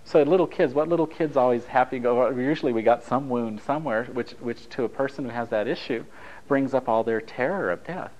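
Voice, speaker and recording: A man's voice: medium pitch, fairly quick, a little mellow, lo-fi sound. A man from Italy whose first language is Japanese, leading a taped relationship workshop in a conversational, anecdotal way, joking with the group. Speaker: workshop leader.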